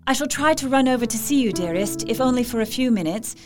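Woman playing a worried young victorian wife reading her letter, tender and formal, highish voice, quiet music behind. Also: animated, fast, lightly acted. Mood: confiding, strained. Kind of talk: dramatized letter reading in gothic audio drama.

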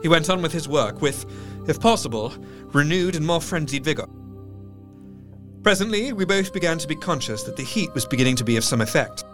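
Victorian doctor-narrator, gothic audio drama: grave, measured, lightish-pitched, scored with soft music. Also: male voice, expressive. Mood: earnest, tense. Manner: measured, solemn, literary. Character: grave, shaken Victorian male doctor recounting his diary. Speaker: actor narrating in character. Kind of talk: dramatic scripted first-person narration.

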